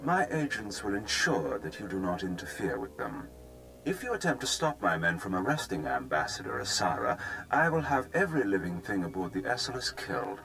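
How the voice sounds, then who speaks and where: An actor playing a cold, haughty Imperial fleet commander, imperious and deliberate, in a sci-fi video game. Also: male voice, deep voice, huge pitch swings, a bit bright, slight background noise, full character voice, low-quality recording. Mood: threatening. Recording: music behind the voice.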